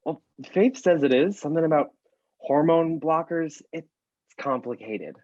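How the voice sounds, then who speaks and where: A man playing a sheepish, tactless teenage boy in a screenplay table read, halting and eager to please. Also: a little high, expressive, a little mellow, dry recording, dull audio. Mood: flustered. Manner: halting, backpedaling.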